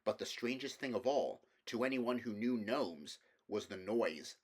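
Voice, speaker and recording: Low-voiced man narrating a storybook. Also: lively intonation, unhurried. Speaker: amateur audiobook reader.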